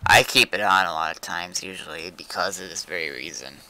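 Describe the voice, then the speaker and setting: A man with a lowish, very bright and forceful voice chats casually while live streaming a game. His remarks are short and laid-back, with a mild grumble. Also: fairly quick, rough recording. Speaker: game streamer and server owner. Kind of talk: casual live gameplay chat.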